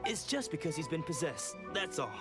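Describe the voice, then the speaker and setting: A man's voice: on the high side, very animated, a little fast. A man voicing a young, protective dojo disciple in a video game, speaking in an earnest, formal way. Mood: reassuring. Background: game music.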